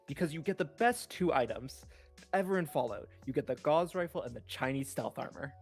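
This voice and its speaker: A man's voice: a little high, animated, brisk. A man co-hosting a podcast, chatting informally about games and using "like" often. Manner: informal, conversational, uses "like" often. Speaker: podcast co-host. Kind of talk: casual chat about games. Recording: background music under the talk. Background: background music.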